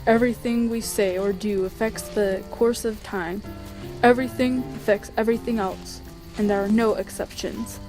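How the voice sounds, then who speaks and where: A woman's voice: mid-range voice, fairly even intonation, normal speed, natural voice, rough recording. A woman plays a laid-back skeleton monster with a world-weary streak. The delivery is casual and wry, in mid-range cartoon dub style, with faint music underneath. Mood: pensive. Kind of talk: animated comic dub acting.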